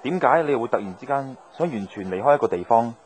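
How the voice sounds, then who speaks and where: A man's voice: mid-range voice, expressive, fast, darkish tone, a faint crowd, a little hiss or hum, close to an everyday voice. An actor playing an easygoing, philosophical male wanderer in a Cantonese radio drama.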